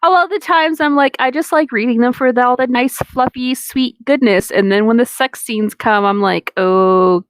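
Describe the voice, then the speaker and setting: A woman with a somewhat high voice chats in a loose, joking way as co-host of a reading show. She sounds close and dry, with no room around her. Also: moderate pace, hardly any pauses, no echo. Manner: informal, joking, jokey quoting. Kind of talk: casual banter and commentary. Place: dry, close setup on a remote voice call.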